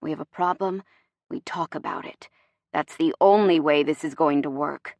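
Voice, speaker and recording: A woman voicing a bossy but warm and protective young boyfriend, soft-spoken and wry with an intense edge. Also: fairly high pitch, moderate pace, dry recording, voice pushed down, lightly acted. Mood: soft, firm. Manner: soft-spoken, wry, growling when strained.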